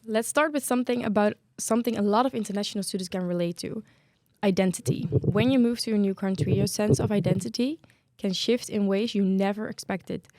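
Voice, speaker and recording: A woman's voice: medium pitch, fairly quick, a little mellow, dry recording. A young woman hosting a podcast about student life, chatty and tripping over a word now and then. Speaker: podcast host.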